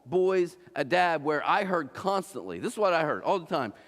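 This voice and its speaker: A man's voice: on the high side, very animated, normal speed, a bit bright. A man in his forties from Ohio, preaching a sermon in a loose, conversational way, with restarts and frequent "right?" asides to the congregation. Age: 40s. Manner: conversational, repeats and restarts, asks the crowd "right?". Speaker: pastor. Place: church auditorium. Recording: long reverb of a large church hall.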